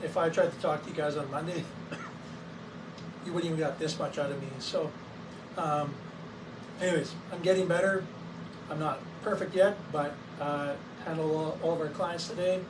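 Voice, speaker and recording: A man with a hoarse voice from a cold, casually explaining his clinic's equipment to camera, with many fillers and an occasional cough. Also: fairly high pitch, fairly even intonation, normal speed, long pauses. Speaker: wellness clinic owner. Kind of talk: casual educational talk to camera. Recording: distant mic with clear background noise.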